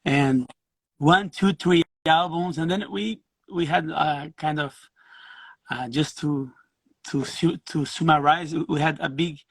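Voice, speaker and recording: A middle-aged man from Brazil, a guest musician telling his story in a casual interview over a video call, halting and restarting his phrases. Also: fairly high pitch, breathy edge, no echo, poor audio.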